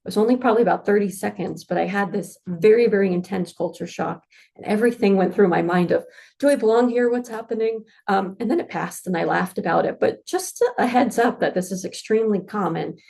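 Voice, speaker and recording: Gravelly North American woman presenting. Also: medium pitch, brisk, darkish tone. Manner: friendly, informative, frequent fillers. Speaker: international office staff presenter. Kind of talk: pre-departure info session.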